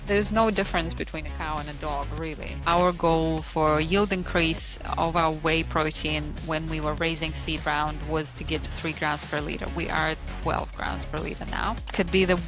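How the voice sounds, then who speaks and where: A woman's voice: low-pitched, rough recording. A woman, telling her story conversationally as a founder being interviewed. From Russia, born in Moscow. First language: Russian. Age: 40s.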